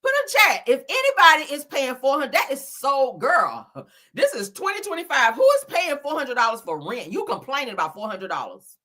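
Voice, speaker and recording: A woman giving animated online reaction commentary in a blunt, scolding, emphatic manner, swearing freely and repeating phrases for effect. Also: sits high, moderate pace. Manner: blunt, scolding, heavy profanity. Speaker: YouTuber commentating on celebrity and viral topics.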